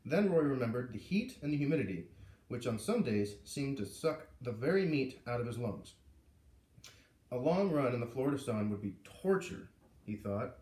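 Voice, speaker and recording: A man reading a story aloud in an expressive, informal way, narrating like a storybook reader. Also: mid-range voice, moderate pace. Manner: animated, informal asides. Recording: echoey room, far from the mic.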